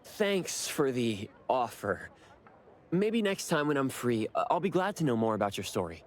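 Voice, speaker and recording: A man's voice: medium pitch, sing-song, moderate pace, natural voice. A man playing a reserved, polite young man in an anime game, speaking in an understated, hesitant way. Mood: polite, earnest.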